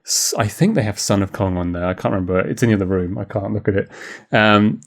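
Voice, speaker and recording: A man's voice: on the low side, fast talker. A man co-hosting a podcast, talking in a casual, rambling, conversational way about films. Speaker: podcast co-host. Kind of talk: casual film discussion.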